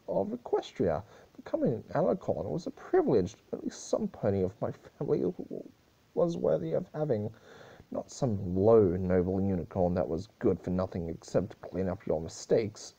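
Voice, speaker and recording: Casting: male reader for a snobbish unicorn lord, indignant and haughty, husky with wide swings, fan fiction drama. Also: lowish voice, warm tone, highs cut off, heavily acted.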